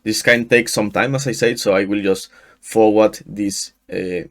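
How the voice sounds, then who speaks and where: A man in his thirties from Mexico, a native Spanish speaker with a Mexican accent, walking through a software install in a screen-share tutorial, often restarting his sentences. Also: on the low side, fairly even intonation. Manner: halting, frequent restarts and fillers. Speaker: tutorial host. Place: small room indoors. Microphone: headset.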